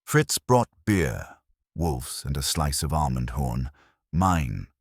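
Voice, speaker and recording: Very deep male story narrator, dry studio sound. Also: very animated, takes it slowly, gravelly, husky, dry recording. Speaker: audiobook narrator. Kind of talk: scripted first-person detective story narration.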